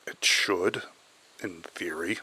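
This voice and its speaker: A man playing a sinister, solemn cult pastor in an audio drama, preaching in a slow, incantatory manner. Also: low-pitched, sharp tone, echoey room, exaggerated voice, poor audio. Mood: reluctant.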